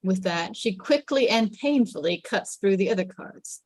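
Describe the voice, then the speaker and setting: A woman's voice: medium pitch, lively intonation, moderate pace, a little mellow, breathy edge, dry recording. A woman reading out stage directions as the narrator of a sitcom table read. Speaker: script narrator.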